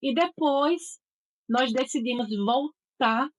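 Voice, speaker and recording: Articulate Northeastern Brazilian woman, fairly high voice. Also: takes it slowly. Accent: Northeastern Brazilian (Sergipe). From Aracaju, Sergipe, Brazil. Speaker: guest, voice and speech therapist. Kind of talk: interview storytelling.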